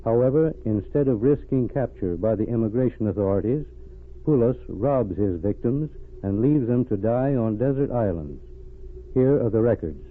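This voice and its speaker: An actor playing a grave, authoritative secret agency chief, briefing deliberately in a vintage radio drama. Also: male voice, medium pitch, expressive, close to an everyday voice. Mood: grave, stern. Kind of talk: old radio drama acting. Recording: tinny, noisy vintage radio recording.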